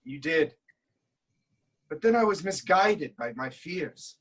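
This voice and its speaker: Tragic king, proud, fearful, declamatory, slightly high husky voice. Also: lively intonation, slow, a bit bright, exaggerated voice. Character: troubled proud king, fearful and haunted by prophecy. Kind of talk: dramatic reading of Greek tragedy.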